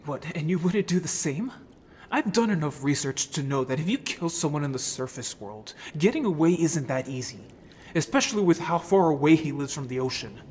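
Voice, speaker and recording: A man's voice: a little high, animated, a little fast, darkish tone, slight background noise, natural voice. A man playing a guilt-ridden, anxious young merman in a fantasy audio drama, speaking hesitantly and emotionally. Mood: pained, resolved. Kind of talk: scripted audio drama acting.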